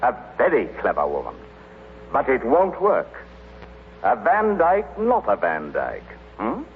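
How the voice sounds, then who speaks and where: A man's voice: mid-range voice, wildly swooping pitch, measured pace. Pompous suspicious businessman, wildly swinging intonation, formal bluster, hemming, vintage radio comedy, tinny band. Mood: smug, insistent. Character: pompous, shrewd businessman, self-satisfied and suspicious. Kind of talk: old-time radio comedy acting. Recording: noisy, tinny old-time radio recording.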